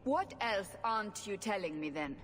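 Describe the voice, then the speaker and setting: A woman's voice: medium pitch, lively intonation, moderate pace, a bit bright, in a large hall, close to an everyday voice. An actress playing a suspicious female interrogator who speaks curtly and demandingly. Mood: stern. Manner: curt, demanding.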